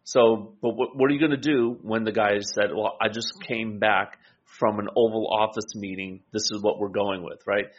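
A man's voice: on the low side, fairly even intonation, normal speed, few pauses, muffled recording. A man co-hosting a casual political discussion, explaining points and voicing imagined quotes as he goes. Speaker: political talk show co-host.

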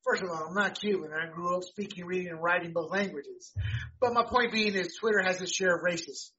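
A man co-hosting a casual talk show, rambling and animated, repeating words and laughing as he talks. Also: high-pitched, fairly quick, lo-fi sound. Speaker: podcast co-host. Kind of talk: casual political and sports chat.

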